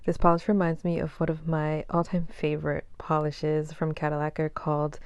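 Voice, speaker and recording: Low, gravelly-voiced nail polish vlogger woman. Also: completely flat delivery, moderate pace, darkish tone, no room sound, dull audio. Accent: faint Boston. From Boston, USA. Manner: chatty, frequent ums and likes. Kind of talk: casual product review.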